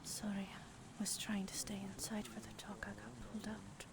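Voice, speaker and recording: Quiet woman with chatter behind. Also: mid-range voice, flat delivery, moderate pace, vocal fry. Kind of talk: improvised roleplay chat on a livestream.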